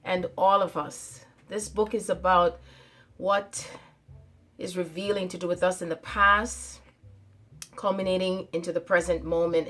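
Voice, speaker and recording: Emphatic woman speaking spiritual monologue. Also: lowish voice. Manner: emphatic, repeats phrases, declarative. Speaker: channel host.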